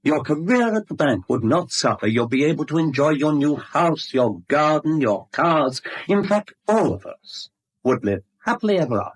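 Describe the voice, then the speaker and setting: An actor playing an oily, polite blackmailer in a British radio drama, falsely apologetic and genteel, with a British accent. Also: male voice, on the high side, sing-song, moderate pace, poor audio. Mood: oily persuasion. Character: smooth, mock-regretful male blackmailer, oily and polite. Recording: vintage 1970s radio drama recording.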